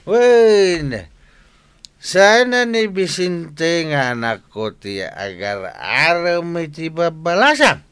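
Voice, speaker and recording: An actor playing a boastful, hard-drinking old father in a radio comedy drama, halting and mumbling with chuckles and filler sounds. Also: on the high side, big pitch swings, a bit bright, clean tone, no echo, heavily acted. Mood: tipsy, proud. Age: older.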